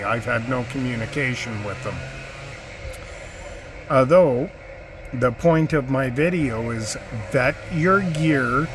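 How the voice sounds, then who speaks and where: A man giving an unscripted gear review in a measured, halting way with deliberate pauses. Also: mid-range voice, fairly bright tone, a little hiss or hum. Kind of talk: unscripted product review talk.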